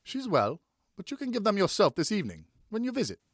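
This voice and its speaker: Guy acting as a smooth-talking grape grower in an audiobook, coaxing, pitch up and lively. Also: fast, no echo, studio quality, full character voice. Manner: coaxing, salesmanlike. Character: persuasive male vineyard owner with gimpy legs. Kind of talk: audiobook character dialogue.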